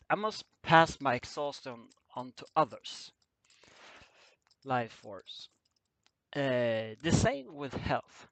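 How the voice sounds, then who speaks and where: A man reading a scripted story aloud as an amateur narrator, halting and stumbling over his words. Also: mid-range voice, fairly bright tone, no echo, rough recording. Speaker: amateur fan fiction reader.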